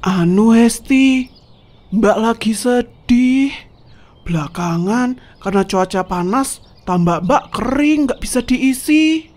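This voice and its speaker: A woman voicing a loud, pushy older sister-in-law in a cartoon drama. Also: on the high side, animated, slow. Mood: wheedling. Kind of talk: cartoon voice acting.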